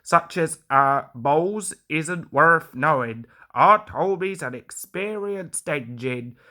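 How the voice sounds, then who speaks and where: Woman putting on a pompous railway boss guy, slow and stern, storybook style. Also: low-pitched, lively intonation, sharp tone, full character voice. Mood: pleased. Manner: severe, measured, authoritative.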